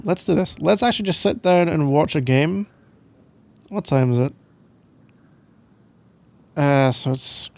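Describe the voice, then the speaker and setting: A man's voice: on the high side, creaky, a little mellow, dry recording. A young Scottish man doing casual boyfriend roleplay ASMR in a playful, teasing, rambling way, close to the microphone. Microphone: close microphone, dry sound.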